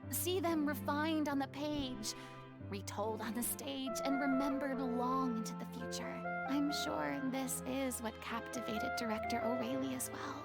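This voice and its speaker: Elegant theatrical game heroine, high w some creak, reflective n formal. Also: female voice, fairly even intonation, a little slow. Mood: hopeful. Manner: formal, elegant, dramatic phrasing. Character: theatrical, eloquent young former actress, poised and reflective. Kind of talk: video game voice acting. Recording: music behind the voice.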